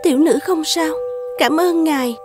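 A gentle, modest young fairy-tale maiden, voiced by a woman. She speaks fairly high, with demure, humble politeness. Music is clearly heard behind her. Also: moderate pace, close to an everyday voice. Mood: shy, polite. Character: gentle, modest, sorrowful young beauty, former courtesan. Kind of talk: animated fairy-tale film dubbing.

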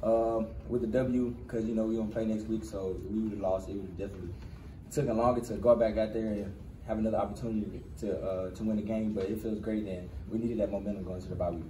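A young man in his twenties, a college football player answering questions at a post-game press conference, talking quickly in relaxed slang and repeating phrases. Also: on the low side. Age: 20s. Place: crowded press room indoors. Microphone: cluster of handheld press mics. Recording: roomy distant press room with noise.

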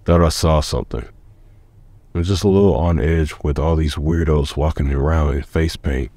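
Man acting very deep, world-weary middle-aged man. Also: normal speed, mellow, no room sound. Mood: wary. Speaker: voice actor in a comic dub. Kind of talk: dramatic dialogue. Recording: music behind the voice.

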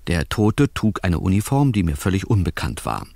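An actor playing a wry newspaper reporter who narrates a detective radio play in a measured storytelling way. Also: male voice, low voice, wildly swooping pitch, darkish tone, dry recording, full character voice. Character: wry male New York newspaper reporter narrating a case. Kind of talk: scripted first-person radio play narration.

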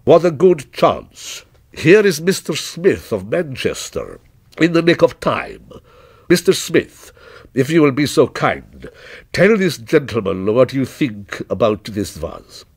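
A male narrator puts on a shrewd shopkeeper from a storybook, selling with courteous, flattering patience. The pitch swings widely, and the words come through husky-free, crisp and close. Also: dry recording, heavily acted. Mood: pressing, pleased. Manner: businesslike, flattering, deliberate salesmanship. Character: shrewd, courteous male shop proprietor pressing a sale.

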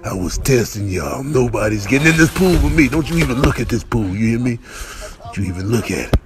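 A man's voice: medium pitch, lively intonation, a little fast, crowd noise, pitched below the performer's own voice, lightly acted. A man voicing a boastful, bossy tiger in slangy, repetitive comic voiceover. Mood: menacing territorial. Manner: repetitive, slangy, wheedling then territorial. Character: boastful bossy male tiger guarding his pool.

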